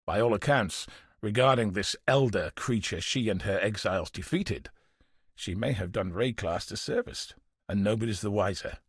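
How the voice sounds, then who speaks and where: An actor playing a weary, bitter but steadfast guard commander in a video game, speaking gravely and earnestly with a husky, raspy voice. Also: male voice, on the low side, moderate pace, no echo, studio quality. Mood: bitter, grieving. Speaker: game character voice. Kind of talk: dramatic video game voice acting.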